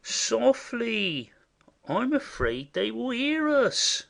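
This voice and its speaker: Stage comedy audioplay: male actor as gullible rustic go-between, very animated, slow and halting, forever confiding secrets he should keep. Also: extremely high pitch, gravelly, exaggerated voice. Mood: anxious, secretive. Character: simple, indiscreet rustic male messenger, conspiratorial and chatty.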